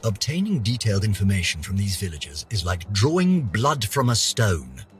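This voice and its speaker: A man's voice: on the low side, wildly swooping pitch, normal speed, soft background music. An actor voicing a pompous, vain older British scientist, theatrically narrating his journal for a game with haughty, florid melodrama. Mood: fascinated. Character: pompous, vain, aging male scientist, indignant and obsessive.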